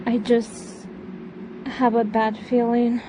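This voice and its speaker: Girl doing a grieving anxious daughter in a fan audio drama, really really slow n hesitant, noisy background. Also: on the high side, little pitch movement. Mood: worried. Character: grieving, anxious young daughter missing her mother. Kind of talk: amateur audio drama dialogue. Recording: noisy hobby recording.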